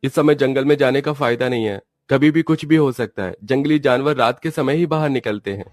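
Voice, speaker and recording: A man's voice: medium pitch, brisk, no echo, natural voice. A man playing a cautious young village husband and father, with a worried manner, in a storybook tale.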